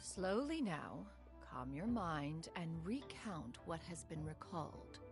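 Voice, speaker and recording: Lowish, lofty, expressive wise mentor. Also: female voice, music in the background, heavily acted. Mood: calm, instructive. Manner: measured, formal, instructive. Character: composed, wise, lofty immortal mentor woman. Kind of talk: video game voice acting.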